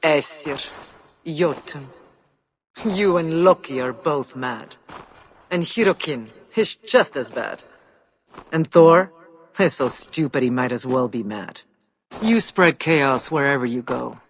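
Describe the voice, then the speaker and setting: An actor voicing a proud, defiant giant lord in a video game, with a theatrical, measured and scornful delivery. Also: male voice, fairly high pitch, lively intonation. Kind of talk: dramatic video game voice acting. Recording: clear background noise.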